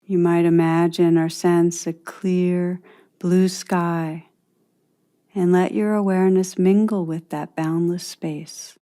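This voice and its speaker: A woman with a slightly low, soft-edged and mellow voice leads a guided meditation. She speaks very slowly and soothingly, as a teacher would, leaving long pauses between phrases. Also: little pitch movement.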